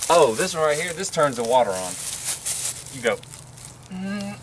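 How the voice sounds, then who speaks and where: Goofy young guy clowning around outside, camera mic, clear background noise. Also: fairly high pitch, very animated, normal speed, poor audio. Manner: playful, clowning, guessing out loud. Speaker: explorer in a junkyard video. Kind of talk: casual joking banter. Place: outdoor rural junkyard.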